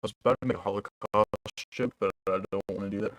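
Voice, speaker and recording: A man's voice: on the low side, fairly even intonation, fast, fairly bright tone, no room sound. A young man producing music on a livestream, chatting loosely with his viewers in a rambling, casual way. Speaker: music producer streaming live.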